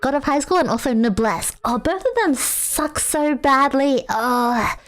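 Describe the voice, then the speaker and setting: A woman's voice: sits high, expressive, a little slow. A young woman streaming a casual chat with viewers, rambling and self-mocking with lots of fillers and frequent laughter. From Australia.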